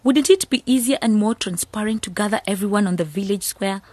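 An actress playing a sharp, sardonic young village woman in a radio drama. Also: mid-range voice, brisk, no echo, lightly acted. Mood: blunt. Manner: sardonic, blunt.

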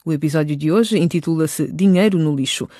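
A woman's voice: low voice, fairly quick, warm tone, dry recording, studio quality. A woman narrating a scripted radio drama.

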